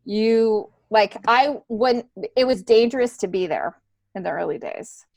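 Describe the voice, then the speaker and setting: A woman's voice: a little high, measured pace, creaky, a little mellow, no room sound. A middle-aged woman with an American accent talks casually and with animation as an interview guest. She often breaks off and restarts her sentences. The recording is dry, with no room around the voice. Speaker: podcast guest, stand-up comedian. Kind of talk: casual conversational storytelling.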